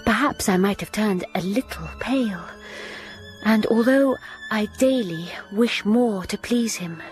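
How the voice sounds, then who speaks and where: A woman playing an earnest young governess in a radio drama, narrating in a quiet, reflective way and often dropping to a whisper. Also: mid-range voice, lightly acted.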